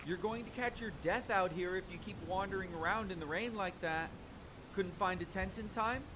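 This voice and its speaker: An actor playing a friendly, talkative, excitable young spearman in an immersive fantasy roleplay audio drama, with an eager, bantering manner. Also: moderate pace, close to an everyday voice. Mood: welcoming, concerned. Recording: constant rain and rumble behind voice. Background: rain, campfire ambience, rolling thunder.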